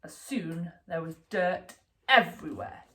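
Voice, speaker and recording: A woman reading a children's picture book aloud in an expressive, deliberate storytelling manner for young listeners. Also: on the low side. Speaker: storybook reader, school staff.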